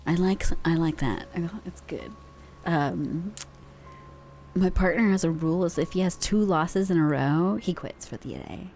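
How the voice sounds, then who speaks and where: Slow, laid-back young woman streamer. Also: on the low side, a little mellow, a little hiss or hum. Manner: laid-back and slangy, with pet names for chatters. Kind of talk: casual live-stream chat with viewers.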